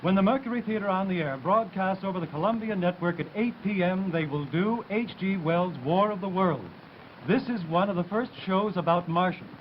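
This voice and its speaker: A man's voice: high voice, brisk, lightly acted. An actor playing an earnest, exasperated man explaining himself in a period TV drama. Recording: dull, faintly noisy TV soundtrack.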